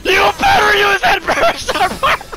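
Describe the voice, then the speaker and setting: A gaming streamer with a strained, worn-out voice, yelling excited trash talk at an opponent during a card game. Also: very high voice, moderate pace, soft background music, a faint crowd, low-quality recording. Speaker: gaming content creator. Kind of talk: excited gameplay commentary.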